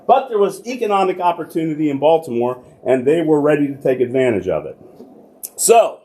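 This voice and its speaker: A man, a church archivist, talks a congregation through local history in a relaxed, conversational lecture. His voice sits a little high for a man, and he often poses a question before answering it himself. The recording carries the roomy echo of a large church hall. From United States.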